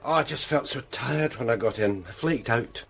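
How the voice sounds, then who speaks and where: An actor playing a tired, middle-aged detective in a radio drama, speaking in a low-key, wry way. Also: male voice, moderate pace, a bit bright, husky, soft background music. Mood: weary.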